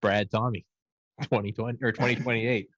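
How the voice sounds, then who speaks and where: A man's voice: mid-range voice, steady tone, moderate pace, fairly bright tone, no echo, highs cut off. A man in his thirties chatting casually, rambling and swearing while he jokes. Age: 30s. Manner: profane, rambling, joking. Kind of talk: casual podcast banter.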